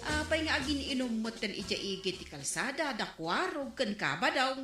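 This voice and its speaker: A woman's voice: a little high, sing-song, moderate pace, echoey room, over loud music. An actress playing a stern village councilwoman scolding drinkers in a comedic drama. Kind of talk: comedic radio drama acting.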